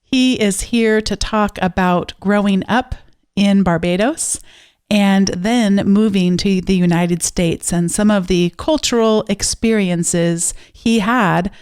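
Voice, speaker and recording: A woman's voice: mid-range voice, a little mellow, clean tone. A woman hosting a podcast interview, speaking in a friendly, conversational way. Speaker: podcast host.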